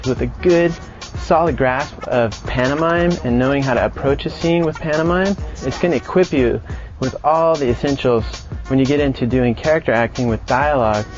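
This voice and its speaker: Male instructor over clear background music. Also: medium pitch, lo-fi sound. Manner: conversational, explanatory. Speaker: animation acting instructor. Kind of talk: explanatory online lesson.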